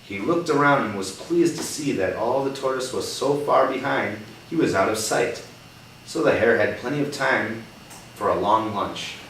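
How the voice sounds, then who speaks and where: A man reading a picture book aloud to children, pausing to ask them questions in a patient, coaxing way and checking in with "right?". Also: medium pitch, lively intonation, fairly bright tone. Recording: echoey room, distant mic, background noise.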